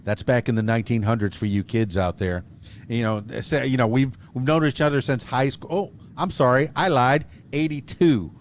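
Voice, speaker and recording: A man's voice: medium pitch, moderate pace, a little hiss or hum. Rambling, jokey man hosting a podcast. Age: 50s. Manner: rambling, jokey, with many restarts. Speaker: podcast host. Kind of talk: casual interview chat.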